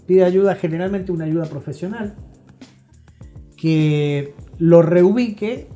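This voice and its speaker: Hombre argentino de voz suave y apagada. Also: male voice, sits high, takes it slowly, mellow, soft background music. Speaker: guest expert, psychology professional. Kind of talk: explanatory interview talk.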